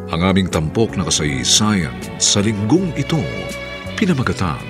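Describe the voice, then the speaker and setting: A man introduces a radio drama in a fairly low voice. He speaks slowly and solemnly, with dramatic, very wide swings of pitch over clear music. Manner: solemn, deliberate.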